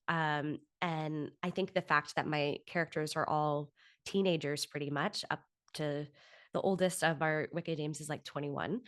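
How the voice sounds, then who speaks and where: A woman in her thirties with a low-pitched voice talks as a guest in an interview. She is articulate and reflective, laying out her thoughts at length in a conversational way. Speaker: interview guest, author and actor.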